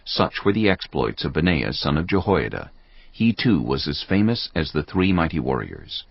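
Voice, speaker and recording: A man with a gravelly, husky voice giving solemn, measured scripted narration of a dramatized Bible. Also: very deep voice, big pitch swings, no room sound, dull audio.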